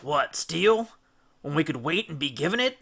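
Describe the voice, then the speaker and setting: A man's voice: fairly high pitch, lively intonation, normal speed, creaky, bright, forceful tone, heavily acted. A man voicing a proud, diplomatic hero and ship's captain in a children's storybook, commanding and a little pompous. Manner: slightly pompous, commanding, declamatory.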